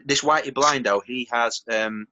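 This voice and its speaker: A man's voice: medium pitch, normal speed, bright, forceful tone, dull audio. A man chatting casually as a team manager, reacting with enthusiasm while reviewing rap battles. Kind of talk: casual chat.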